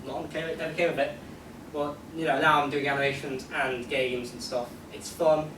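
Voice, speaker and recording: A young British man with a fairly high voice chats casually and jokes at his own expense. The recording is distant and echoey, with clear noise behind him. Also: flat delivery, moderate pace. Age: young adult. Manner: jokey, self-deprecating, informal. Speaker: convention panelist, amateur animator. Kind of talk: casual unscripted panel chat. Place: convention panel room, indoors. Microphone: distant camera microphone. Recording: echoey, noisy room, distant microphone.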